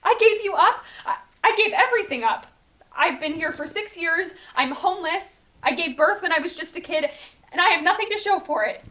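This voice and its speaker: A drunk young mother, acted by a woman at a table read, defiant on top and despairing underneath. Her voice is high and sharply bright, full of guilt. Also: lively intonation, normal speed, heavily acted. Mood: anguished outburst. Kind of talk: screenplay table read acting.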